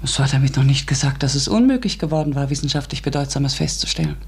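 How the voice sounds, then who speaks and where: A thoughtful narrator in a radio drama. The voice is slightly breathy and husky, with a measured, deliberative, questioning delivery. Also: male voice, medium pitch, expressive, a little mellow. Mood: reflective. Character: reflective narrator with a scientific bent.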